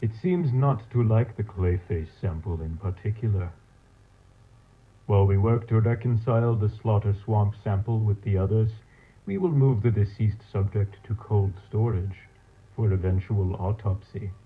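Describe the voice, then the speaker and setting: An actor playing a coldly clinical mad scientist, dictating experiment logs in a formal, measured way for an analog horror audio drama. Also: male voice, lowish voice, lightly acted. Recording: tinny, phone-like tape log sound.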